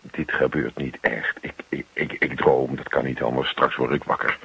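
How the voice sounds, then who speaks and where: A man playing an exasperated, disbelieving henpecked husband in a radio play, husky and spluttering. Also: extremely low pitch, level tone, moderate pace, a bit bright, exaggerated voice. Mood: despairing. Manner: incredulous, spluttering. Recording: dull old radio play recording.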